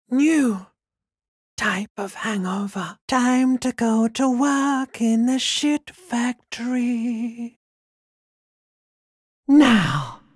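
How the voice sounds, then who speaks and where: A man voicing a video game's sinister inner voice of a wrecked body, raspy, breathy and strained, mocking and cruelly intimate in a theatrical way, often dropping to a whisper. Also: extremely high pitch, heavily acted. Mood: urgent, mocking, then pained, contemptuous. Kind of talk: second-person video game voice acting. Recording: long cavernous reverb.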